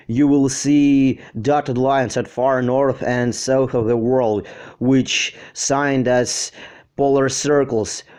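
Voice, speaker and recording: A man speaking English as a second language, explaining a science topic slowly with frequent pauses and fillers. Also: mid-range voice, a little mellow, smooth, no room sound, clean studio sound. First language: not English. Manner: slow, hesitant, with frequent fillers. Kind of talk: unscripted educational explanation.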